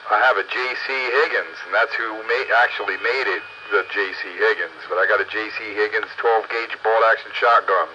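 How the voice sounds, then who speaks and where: A man's voice: fairly low pitch, fairly quick, hardly any pauses. A man chatting casually and reminiscing over a two-way radio link. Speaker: radio operator. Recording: muffled, noisy two-way radio transmission.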